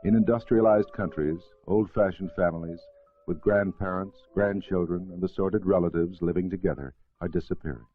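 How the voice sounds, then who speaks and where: A man reading scripted educational film narration in a formal, measured way. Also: low-pitched, faint music behind. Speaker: documentary narrator. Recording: narrow-band vintage film soundtrack.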